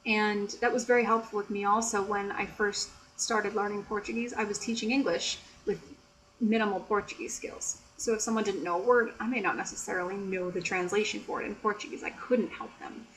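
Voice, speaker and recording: An American woman chatting softly and explaining things in a casual, teacherly way, with frequent "you know". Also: medium pitch, fairly even intonation, a little fast, slight background noise, poor audio. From United States. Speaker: ASMR creator and English tutor. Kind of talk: soft-spoken ASMR chat.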